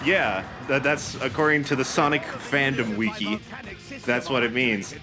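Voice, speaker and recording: A man's voice: medium pitch, a little slow, over music. A man giving casual, joking commentary as he and his friends go through a video game. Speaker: let's play commentator.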